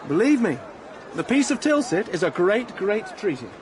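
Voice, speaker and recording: An actor playing an evasive, socially ambitious young staff officer in a radio drama, affable but condescending. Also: male voice, high-pitched, big pitch swings, moderate pace. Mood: breezy. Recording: busy crowd and street noise behind.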